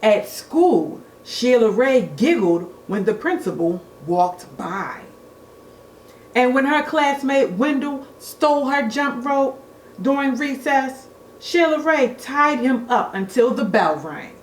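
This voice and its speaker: A woman reading a children's storybook aloud in an expressive, deliberate way, like a teacher at storytime. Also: high-pitched. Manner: expressive, deliberate, engages young listeners with questions. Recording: echoey room with faint noise.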